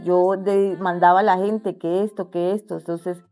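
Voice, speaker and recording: Young wayuu woman from la guajira, mellow, soft music underneath, earnest, halting with restarts. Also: on the low side, level tone. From La Guajira, Colombia. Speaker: interviewee, artisan entrepreneur. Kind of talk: casual interview.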